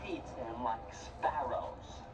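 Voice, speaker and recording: An actor as a grumbling fairy-tale villager trading gossip. He speaks slowly and conspiratorially, heard echoing from a distant stage. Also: medium pitch, steady tone. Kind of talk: stage play acting. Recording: echoey, noisy stage recording from afar.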